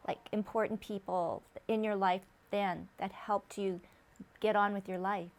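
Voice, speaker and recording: A woman's voice: mid-range voice, steady tone, measured pace. A woman hosting an interview, upbeat and welcoming. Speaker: host.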